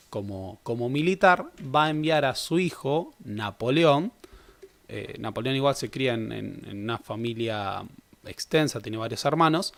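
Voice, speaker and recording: A man's voice: mid-range voice, fairly bright tone. A young man giving a casual, conversational history lecture. He corrects himself often and adds joking asides. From Argentina. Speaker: history teacher.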